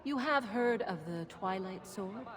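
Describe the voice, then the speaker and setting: An actress playing a proud, sardonic Norse woman bearing ominous news in a video game, measured and taunting. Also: medium pitch, expressive, long reverb tail, a crowd in the background, lightly acted. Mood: accusing. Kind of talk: dramatic cinematic video game acting.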